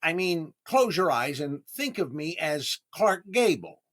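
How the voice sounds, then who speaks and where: An actor playing a vain, hapless comedian in 1930s-style radio comedy, with a deliberate, indignant delivery and dramatic pauses. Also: male voice, a little high, very animated, fairly bright tone. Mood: insistent. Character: vain, hapless comedian desperate to prove his sex appeal.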